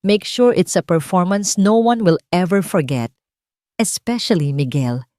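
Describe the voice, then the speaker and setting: A woman's voice: on the low side, expressive, measured pace, mellow, no room sound. Woman narrator as cruel older rich matriarch, imperious, cold, dry sound, audio drama. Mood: cold, menacing.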